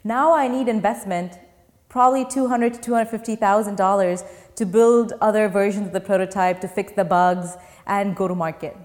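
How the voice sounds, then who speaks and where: A woman's voice: mid-range voice, fairly even intonation, moderate pace, darkish tone. A young woman from Dubai, a startup founder, answering interview questions in an earnest, step-by-step way. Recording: long reverb.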